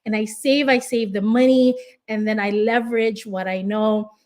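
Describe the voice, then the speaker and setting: A woman's voice: medium pitch, steady tone, normal speed, a little mellow. A Filipino-accented woman from the Philippines, a real estate investor and coach, giving an earnest, encouraging motivational speech, restarting and repeating phrases as she goes. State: tired.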